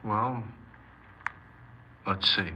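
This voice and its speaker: A man's voice: on the low side, extremely slow, sharp tone, lo-fi sound, full character voice. An actor playing a sullen, aimless young man confessing his crimes in an offhand, hesitant way, in 1950s radio drama style. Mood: hesitant, subdued. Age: young adult. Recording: noisy old 1950s radio recording.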